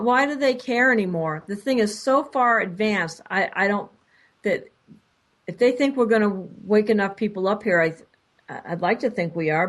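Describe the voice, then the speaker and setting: A woman hosting a radio talk show, chatting agreeably with her guest and often repeating words as she speaks. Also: medium pitch. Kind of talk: casual radio interview chat.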